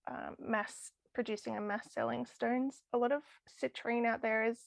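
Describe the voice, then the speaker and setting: A woman's voice: on the high side, fairly even intonation, normal speed, vocal fry, no room sound, studio quality. A woman losing her voice, talking enthusiastically about crystal healing as a podcast guest. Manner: enthusiastic, chatty with frequent laughs. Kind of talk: casual podcast interview.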